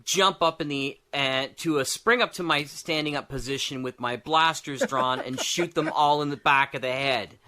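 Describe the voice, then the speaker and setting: Bright-voiced man thinking aloud casually. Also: on the high side, moderate pace, hardly any pauses. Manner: rambling, thinks aloud, often checks in with "right?". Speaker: podcast participant. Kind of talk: casual discussion of tabletop game design.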